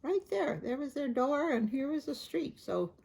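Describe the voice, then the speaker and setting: A woman reading a picture book aloud to children, gently chatting and stopping to ask the listener questions. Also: sits high, big pitch swings, moderate pace, vocal fry, slight background noise. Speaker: storybook reader.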